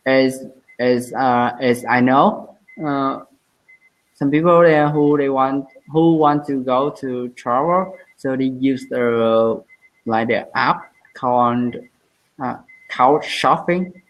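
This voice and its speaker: A woman's voice: extremely low pitch, slow pace, highs cut off. A woman with a Vietnamese accent practising English in a casual lesson, hesitant and searching for words with many fillers, heard over a video call. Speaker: English learner.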